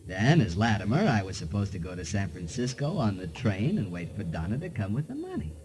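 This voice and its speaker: Bitter, wildly animated American schemer. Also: male voice, fairly low pitch, a little fast. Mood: bitter, confessing. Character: desperate male murderer confessing, bitter and scheming. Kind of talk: 1950s noir radio acting. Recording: noisy vintage radio recording.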